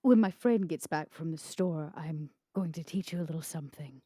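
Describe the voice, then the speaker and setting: A woman playing a mocking, coolly sadistic vampire interrogator, making deliberate, sardonic threats in a tabletop game. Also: deep voice, mellow, no echo, clean studio sound. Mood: cool menace. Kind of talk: tabletop role-play.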